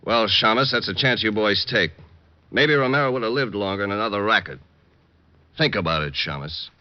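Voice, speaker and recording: An actor playing a smooth, dapper gambler and club owner in an old radio crime drama, suave, condescending and coolly menacing. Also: male voice, fairly low pitch, brisk, close to an everyday voice. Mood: smugly threatening. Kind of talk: 1940s-50s radio acting. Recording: muffled old radio recording, faint music.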